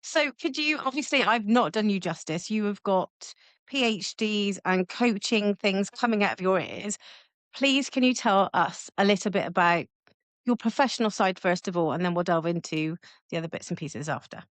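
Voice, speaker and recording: A woman's voice: medium pitch, moderate pace, no room sound. A woman hosting a podcast, reading an intro and asking her guest questions in an earnest way. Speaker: podcast host.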